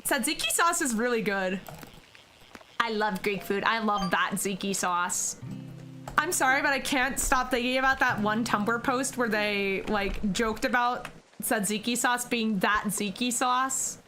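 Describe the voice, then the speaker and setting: A woman's voice: on the high side, a little slow, bright, forceful tone, soft background music. A young American woman streaming, chatting casually with her viewers and giggling often. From United States. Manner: giggly, chatty, answers chat questions.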